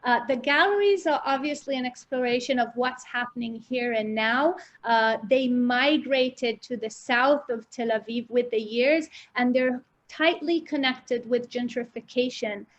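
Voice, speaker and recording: A woman's voice: fairly high pitch, measured pace. A woman from Israel with a mixed British and American English accent, explaining things informally and articulately as a guest art guide over a dull online connection. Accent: British-American English mix. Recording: dull lo-fi online call audio.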